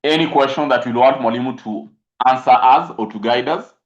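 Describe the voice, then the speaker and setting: A man with a Kenyan accent mentoring accounting students in a live online talk, mixing English with Swahili and often pausing on fillers and repeated phrases. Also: medium pitch. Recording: roomy, dull lo-fi stream audio.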